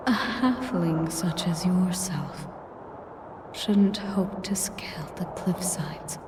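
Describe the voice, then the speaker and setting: A woman playing an ancient, sadistic female air dragon in a dramatic audio roleplay, breathy and whispery, speaking deliberately with haughty, menacing condescension. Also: fairly low pitch. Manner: slow, deliberate, condescending, taunting. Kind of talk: dramatic scripted roleplay monologue.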